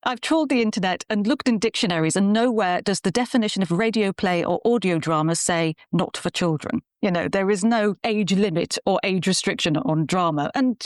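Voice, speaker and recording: A woman with a medium-pitched voice talks casually as an interview guest. She explains her work as a producer and director, and the sound is dry with little room in it. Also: fairly quick, hardly any pauses, no echo.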